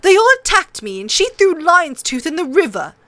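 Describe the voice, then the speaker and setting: A woman's voice: extremely high pitch, very animated, normal speed, sharp tone, no room sound, voice pushed up, heavily acted. A woman voicing a whining, insistent young prince in a fantasy audiobook. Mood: petulant, shrill.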